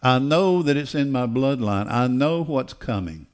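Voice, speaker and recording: An older man preaching a sermon in an emphatic, deliberate way, repeating phrases for weight. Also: mid-range voice, animated. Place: church auditorium stage. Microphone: headset.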